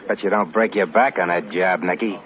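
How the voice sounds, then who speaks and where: Low-voiced, shrewd underworld fence, played by a man. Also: brisk, lightly acted. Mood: offhand, shrewd. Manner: offhand, terse, businesslike. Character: shrewd, casual male fence buying stolen goods. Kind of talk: 1950s radio crime acting. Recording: muffled, hissy 1950s radio recording.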